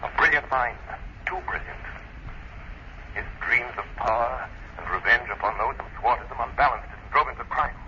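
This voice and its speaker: Man playing a measured radio crime fighter, noisy old recording. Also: medium pitch, highs cut off, close to an everyday voice. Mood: grave. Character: invisible male crime fighter, calm, mocking, moralizing. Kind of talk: vintage American radio drama acting.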